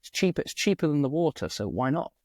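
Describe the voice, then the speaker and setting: A man's voice: a little high, big pitch swings, a little fast, a little mellow, no room sound. Joking man, dry sound. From England, near Manchester. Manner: self-deprecating, jokey, with fillers and restarts. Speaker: guest, English teacher. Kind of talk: casual conversation.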